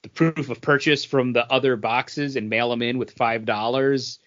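A man co-hosting a podcast, telling casual nostalgic stories in an animated, slangy way and acting out quotes. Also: mid-range voice, normal speed, lo-fi sound. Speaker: podcast co-host. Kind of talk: casual chat, nostalgic anecdotes.

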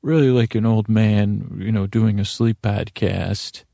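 A man with a heavily creaky, mellow voice hosts a bedtime podcast. His pitch stays fairly flat as he meanders, halting and doubling back into tangents. It is a rambling monologue meant for winding down. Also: dry recording, studio quality. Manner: rambling, halting, self-interrupting with trailing tangents. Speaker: podcast host.

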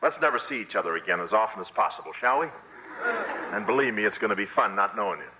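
Glib male con artist, acted, 1950s radio comedy, joking, lively swooping delivery, muffled old broadcast. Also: on the low side, fast, noisy background, natural voice. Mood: glib, cheeky. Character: fast-talking wisecracking small-time con man.

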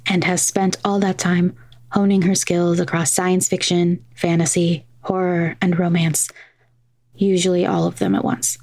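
A woman reading a scripted podcast introduction in a measured, formal manner. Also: fairly low pitch, darkish tone, no room sound. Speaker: podcast host introducing the guest.

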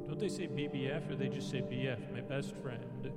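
A man hosting a sleep podcast, rambling in a loose, meandering way that drifts softer and quieter. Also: mid-range voice, fairly even intonation, fairly quick, over loud music. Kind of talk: rambling casual monologue.

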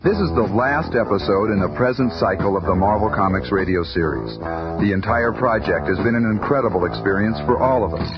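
A man's voice: fairly low pitch, a little fast. A man announcing scripted closing credits for a radio drama series. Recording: muffled radio recording, loud music.

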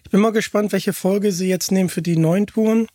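Guy hosting a podcast, pretty high voice, super dry sound, casual and keen, kinda halting. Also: fairly even intonation, dark tone, dry recording. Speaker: podcast host. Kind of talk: casual chat, interviewing.

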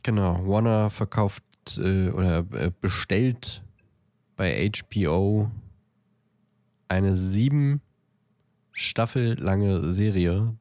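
A man co-hosting a podcast, talking casually and thinking aloud in a halting, deliberative way. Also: deep voice, a little mellow, dry recording. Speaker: podcast co-host.

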